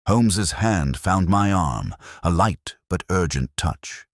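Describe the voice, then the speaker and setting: A man narrating a scripted detective story in a measured, atmospheric storytelling manner. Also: low-pitched, expressive, dry recording, clean studio sound.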